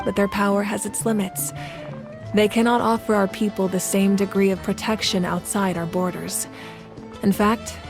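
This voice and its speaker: Female game role: earnest national leader, actress acting composed, formal and measured, mid-range voice. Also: mid-range voice, natural voice. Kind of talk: scripted game dialogue.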